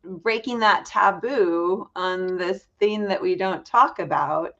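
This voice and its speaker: Low-pitched woman host, dull lo-fi sound. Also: takes it slowly, a bit bright. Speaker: podcast host. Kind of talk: casual interview hosting.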